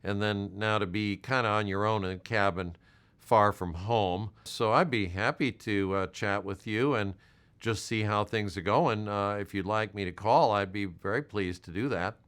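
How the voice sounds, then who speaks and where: An older man with an American accent, talking in a gentle, halting, reassuring manner as a volunteer making a check-in call. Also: on the low side, clear, resonant tone. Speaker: health department volunteer caller. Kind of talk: supportive check-in phone call.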